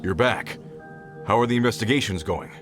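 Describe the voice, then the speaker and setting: An actor playing a brisk, responsible search party leader in a video game, decisive and businesslike. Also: male voice, fairly low pitch, close to an everyday voice. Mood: concerned. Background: game music.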